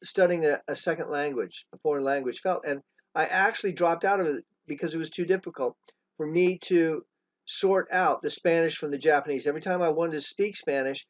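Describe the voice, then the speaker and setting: Brief: older man, somewhat high voice, earnest presenter who halts and restarts, muffled lo-fi recording. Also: brisk. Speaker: education trainer presenting his program. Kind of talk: spoken presentation to schools. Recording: dull lo-fi recording.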